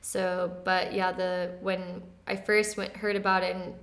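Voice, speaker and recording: A woman in her twenties speaks in a relaxed, conversational way. Her pitch stays quite level, with little rise or fall. She talks softly, with light laughs and plenty of casual fillers. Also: a little slow. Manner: soft-spoken, relaxed, often laughing lightly, many "like" fillers. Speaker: interview guest.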